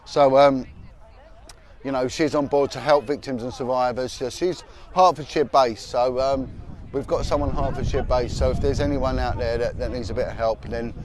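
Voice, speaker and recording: A middle-aged man streams live from a city park, speaking bluntly and with heat, often doubling back on his own words. His voice sits at an ordinary pitch, and traffic passes behind him in the open air. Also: fairly even intonation, moderate pace. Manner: blunt, impassioned, repeats words when fired up. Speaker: host of a live podcast.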